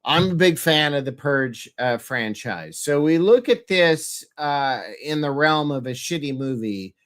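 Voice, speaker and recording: A man with a head cold, nasal and congested, hosting a casual show in a halting, rambling way. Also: a little high, lively intonation, fairly bright tone. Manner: halting, searching for words. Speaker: podcast host.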